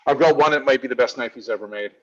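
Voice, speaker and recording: A man's voice: medium pitch, racing, dull audio. A man co-hosting a casual live-stream chat, reading out viewer questions in a joking, chatty way. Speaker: co-host of a knife shop Q&A stream.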